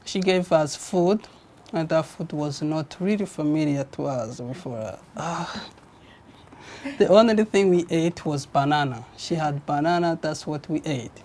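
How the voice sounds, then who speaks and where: A man's voice: a little high, a little slow, a little mellow. A man recounting his personal story in an interview, hesitant, with an uneven pace.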